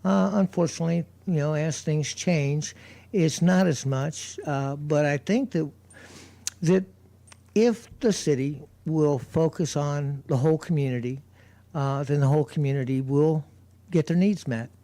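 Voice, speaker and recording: A man who performs on the street, chatting on community radio in a loud voice, rambling with lots of fillers and restarts. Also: fairly high pitch, measured pace, creaky. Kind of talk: casual radio chat.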